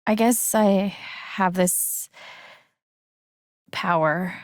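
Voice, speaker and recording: Earnest, slow-speaking young woman. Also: mid-range voice, no echo, clean studio sound. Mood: confiding, hesitant. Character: earnest, gentle young woman with empathic powers. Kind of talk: naturalistic video game dialogue.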